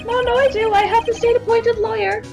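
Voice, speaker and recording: A meek young defendant from a video game, put on by a woman. Her voice is very high and girlish, and she speaks politely, with a resigned air. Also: flat delivery, brisk, a little mellow, soft background music. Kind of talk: live group voice-over of a visual novel game.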